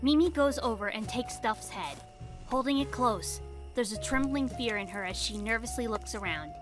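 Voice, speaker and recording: A woman's voice: fairly high pitch, animated, normal speed, music in the background. A woman narrating an audio drama in a theatrical, suspenseful storytelling style.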